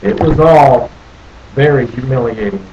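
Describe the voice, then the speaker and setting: A man reading a picture book aloud as a storyteller, deliberately, with questions and asides to his young listeners. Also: medium pitch, animated, warm tone, muffled recording, slight background noise. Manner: deliberate, asks the listeners questions and repeats phrases.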